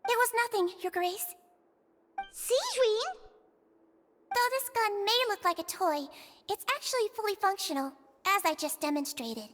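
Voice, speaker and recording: An actress voicing a small, gentle young nurse girl who speaks politely and earnestly in a game cutscene. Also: very high-pitched, big pitch swings, moderate pace, in a large hall, slight background noise, heavily acted.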